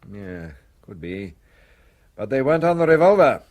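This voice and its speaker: Man acting a brisk, animated police inspector. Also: mid-range voice, natural voice. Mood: musing, wry. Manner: questioning, thinking aloud in clipped phrases. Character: male police inspector, brisk, probing, wryly businesslike. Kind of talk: radio play acting.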